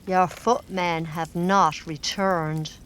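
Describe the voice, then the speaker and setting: A woman's voice: fairly low pitch, steady tone, slow, noisy background. Ominous, superstitious servant woman. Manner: ominous, emphatic, scolding. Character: superstitious, pious, doom-saying country servant woman. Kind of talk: gothic audio drama acting.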